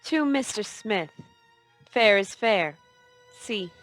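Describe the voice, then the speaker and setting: A woman's voice: mid-range voice, animated, slow pace, a bit bright, faint music behind. An actress playing a cool, playful woman in a noir radio drama, reading a note aloud. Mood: coy.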